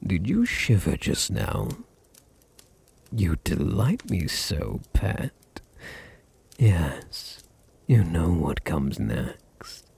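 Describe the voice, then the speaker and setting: A British man voicing a possessive, seductive vampire noble in an intimate ASMR roleplay, teasing and soothing, often dropping into a breathy whisper. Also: low-pitched, a little mellow, full character voice. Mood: teasing, hungry. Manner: slow, soothing, teasing, condescendingly tender. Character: dominant, possessive, seductive male vampire noble doting on his servant.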